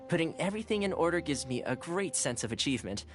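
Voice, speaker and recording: A woman's voice: low voice, very animated, quick pace, soft background music. A woman voicing a cheerful, caring young male housekeeper in a video game, friendly and earnest. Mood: cheerful, helpful. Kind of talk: video game character voice lines.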